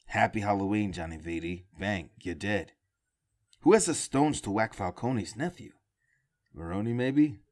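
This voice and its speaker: A man's voice: lowish voice, lively intonation, unhurried, darkish tone. A man playing a brash, cocky young district attorney in an audio drama, sardonic and flippant. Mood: mocking.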